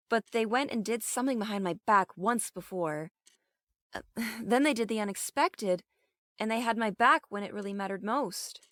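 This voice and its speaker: A woman's voice: mid-range voice, fairly bright tone, no echo, clean studio sound, lightly acted. A woman playing a guarded, conflicted police captain, speaking informally and earnestly in an audio drama.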